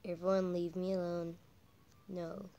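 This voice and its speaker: A woman doing casual, halting game commentary as a channel host, her voice croaky from a cold. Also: on the low side, level tone, dark tone, highs cut off. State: croaky with a cold, coughing and throat-clearing.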